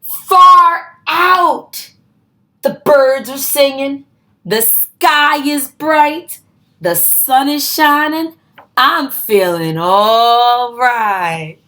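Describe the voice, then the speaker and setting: A woman voicing a turtle in a children's storybook, with exaggerated, drawn-out words. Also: high-pitched, bright, forceful tone, full character voice. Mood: delighted.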